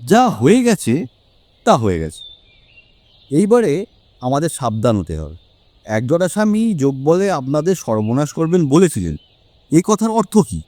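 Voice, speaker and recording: A man playing a confident, sharp-minded male detective in an audio story, with deliberate, emphatic phrasing. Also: on the high side, huge pitch swings, dry recording. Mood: commanding. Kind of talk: Bengali audio story acting.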